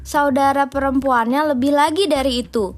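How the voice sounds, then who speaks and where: A woman's voice: sits high, steady tone, normal speed, soft background music. An Indonesian woman reading a children's storybook aloud as a mother, expressively and with informal asides. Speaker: mother reading a bedtime story, podcast host.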